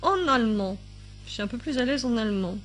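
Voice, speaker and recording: A woman with a fairly high voice talks through a screen-recorded tutorial at her computer. She chats loosely, filling pauses with hesitations and thinking aloud, and sometimes sounds out subtitles in other languages. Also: lively intonation, a bit bright, slight background noise. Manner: chatty, thinks aloud with many fillers. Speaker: YouTube tutorial maker.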